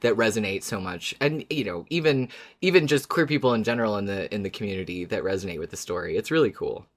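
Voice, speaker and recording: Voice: low voice, expressive, fast. A podcast host chatting casually and enthusiastically in discussion with a co-host.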